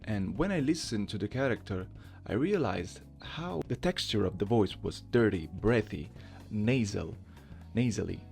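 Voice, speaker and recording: A man gives casual commentary as host of a gaming video. His voice is animated and moves around a lot, with noticeable vocal fry, over quiet background music. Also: lowish voice, moderate pace. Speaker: gaming channel host, amateur voice impersonator.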